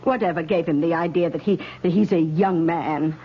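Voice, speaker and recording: An actress playing a fussy, deferential attendant in a radio drama, polite and easily flustered. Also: female voice, fairly low pitch, moderate pace, a little hiss or hum, natural voice. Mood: concerned. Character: fussy, deferential female attendant to an old gentleman. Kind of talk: 1970s radio drama acting. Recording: dull vintage radio recording.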